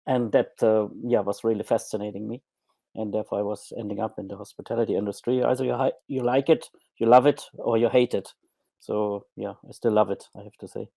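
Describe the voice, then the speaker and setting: A man's voice: fairly low pitch, fairly even intonation, brisk, vocal fry, mellow, no room sound. A middle-aged German man with a German accent, a podcast guest speaking hesitantly with many ums and restarts. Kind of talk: podcast interview.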